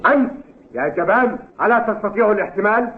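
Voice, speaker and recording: Harsh, high-voiced cartoon football coach. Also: male voice, expressive, fast. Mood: scornful, commanding. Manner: bossy, scornful, commanding. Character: harsh, domineering male football team coach barking drill orders. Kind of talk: Arabic cartoon dub acting. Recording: tinny, phone-like old TV dub.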